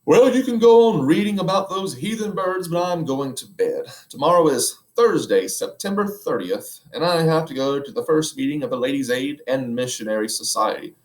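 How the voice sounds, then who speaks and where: Fussy, sharp-tongued housewife, high and lively, as a man reads her out in an echoey room. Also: normal speed, hardly any pauses, lightly acted. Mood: brisk. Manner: sharp, scolding, easily shocked. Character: fussy thrifty middle-aged housewife. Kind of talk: reading aloud a children's book.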